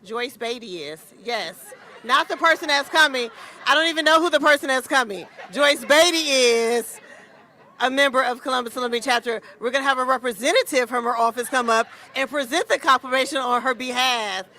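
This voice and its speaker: A woman's voice: high-pitched, brisk, pushed and bright, a faint crowd. A woman emceeing a ceremony program, loose and conversational, laughing at her own slips.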